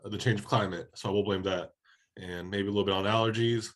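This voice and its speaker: A man from Oregon co-hosting a soccer podcast, talking in a relaxed, casual way while slightly hoarse from a head cold. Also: lowish voice, quick pace. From Oregon, USA. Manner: laid-back, conversational, many fillers. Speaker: podcast co-host.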